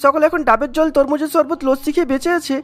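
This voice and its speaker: A woman puts on a cartoon doctor, scolding and exasperated. The delivery is extremely fast and the pitch is high. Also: steady tone, close to an everyday voice.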